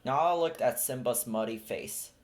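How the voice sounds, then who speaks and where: A man's voice: mid-range voice, normal speed, slight background noise. Man reading a storybook aloud. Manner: steady, clear storytelling. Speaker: storybook reader. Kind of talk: children's read-aloud narration.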